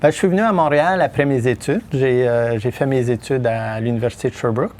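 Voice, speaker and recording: A middle-aged Québécois man speaking as a podcast guest. His voice has a gravelly, creaky edge. He pauses often with fillers and restarts as he builds his answers. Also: mid-range voice. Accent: Québécois French. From Quebec, Témiscamingue. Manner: hesitant, many fillers and restarts. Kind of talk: podcast interview.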